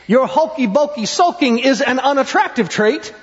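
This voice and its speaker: A man's voice: very high voice, expressive, moderate pace. A man voicing a blunt, scolding male octopus in a storybook reading. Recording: muffled sound, long hall reverb.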